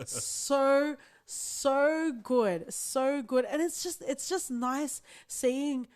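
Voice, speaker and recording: A woman co-hosting a podcast, chatty and quick to laugh, who swears casually. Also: high-pitched, lively intonation, a little mellow. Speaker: podcast co-host. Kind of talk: casual chat.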